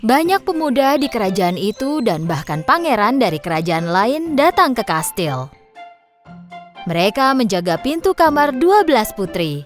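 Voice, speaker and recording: A woman narrating a children's cartoon story in an expressive, lilting way. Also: fairly high pitch.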